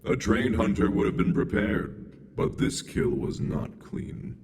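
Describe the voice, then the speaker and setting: A man voicing a bloodthirsty, curious robot hunter in a video game, speaking formally and deliberately through robotic processing. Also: fairly low pitch, steady tone, a little mellow. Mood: coldly analytical. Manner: deliberate, formal, menacingly literal. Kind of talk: video game character dialogue.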